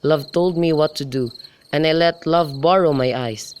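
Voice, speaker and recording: A man's voice: fairly high pitch, moderate pace, natural voice. Man as reckless, lovestruck young nobleman, amateur radio Shakespeare, slightly noisy homemade recording with night insects. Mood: ardent, devoted. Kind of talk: student radio drama acting of modernized Shakespeare. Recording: noisy home recording, crickets behind.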